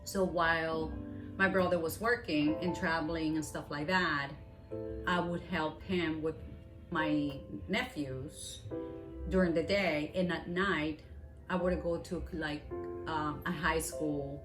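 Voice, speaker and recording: A woman's voice: low-pitched, fairly even intonation, takes it slowly. A woman from Venezuela, a native Spanish speaker, speaking with a Venezuelan Spanish accent in a documentary interview, stringing long sentences together with "and then". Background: background music.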